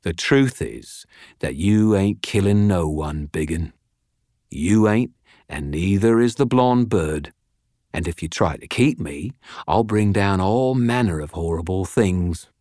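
A man's voice: low voice, expressive, slow pace, a little mellow, no echo, studio quality. A man voicing a smug, heavyset criminal in an audiobook, sneering and cocky in his slangy talk. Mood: smug menace. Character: smug, snarling British male criminal, heavyset and insolent.

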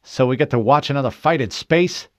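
A man's voice: mid-range voice, brisk, no echo, clean studio sound, pitched above the performer's own voice, lightly acted. A man voicing a hot-headed, brash young male marine in an audiobook, with an aggressive, hissing delivery and a foul mouth. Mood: bitter. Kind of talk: audiobook character voice.

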